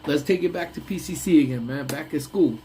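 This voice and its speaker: A man's voice: fairly high pitch, fairly quick, darkish tone, slight background noise. A young man hosting a casual lunch-break live stream, rambling and laughing often, with a voice that sounds congested. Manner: rambling, laughs often, trails off mid-thought.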